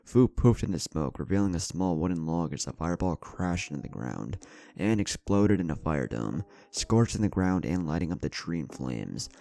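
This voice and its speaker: A man with an extremely low, creaky voice narrates a scripted fantasy battle story. His tone is dark and mellow, with music playing underneath. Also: animated, normal speed, dry recording. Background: background music.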